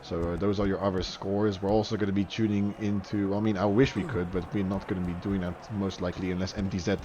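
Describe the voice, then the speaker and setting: A man's voice: sits low, little pitch movement, quick pace, lo-fi sound, noisy background. A young man commentating a live online match in a chatty way, voice jumping up on goals and saves. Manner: chatty, rises sharply on goals and saves, fillers and restarts.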